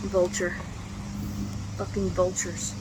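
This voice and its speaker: Hoarse woman vlogging over traffic noise. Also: lowish voice, flat delivery. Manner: halting, with frequent ums and restarts. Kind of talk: casual personal vlog.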